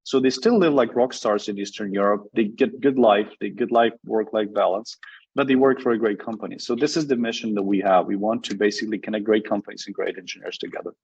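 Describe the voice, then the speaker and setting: Husky low-voiced man from Ukraine. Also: quick pace, a little mellow. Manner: earnest, explanatory, uses many fillers. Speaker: guest, company CEO. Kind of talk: interview answers. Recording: dull lo-fi audio.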